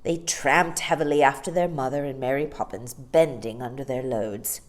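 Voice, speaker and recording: A woman narrating a children's story in an expressive storytime style. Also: extremely low pitch, normal speed. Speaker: storytime reader.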